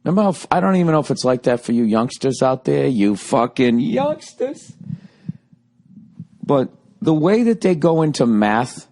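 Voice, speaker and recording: A man in his forties with a Boston accent, ranting sarcastically and profanely in a rambling comic monologue, his voice going a little hoarse. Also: mid-range voice, sing-song, moderate pace, darkish tone. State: voice getting hoarse. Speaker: podcast host.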